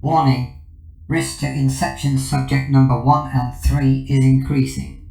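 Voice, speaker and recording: A creaky male artificial intelligence speaks in formal, clipped procedural statements. The voice sits in a roomy echo with loud plucked music behind it, as in a sci-fi audio drama. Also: mid-range voice, level tone, measured pace. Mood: formal, warning. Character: coolly formal artificial intelligence advisor named Cognos.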